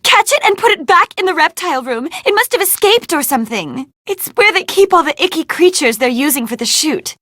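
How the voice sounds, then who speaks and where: A woman's voice: extremely high pitch, sing-song, fairly quick, few pauses, pushed and bright, a little hiss or hum, full character voice. A woman voicing a vain, self-absorbed young film starlet in a video game, whiny, haughty and melodramatic. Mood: panicked. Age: young adult.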